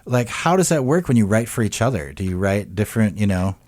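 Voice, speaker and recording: A man hosting a radio interview in a studio, asking questions in a laid-back, halting way. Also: lowish voice, expressive, a little mellow. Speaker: radio host.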